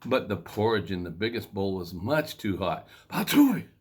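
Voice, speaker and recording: A man reading a children's story in a playful, expressive way with dramatic emphasis. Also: sits low, moderate pace.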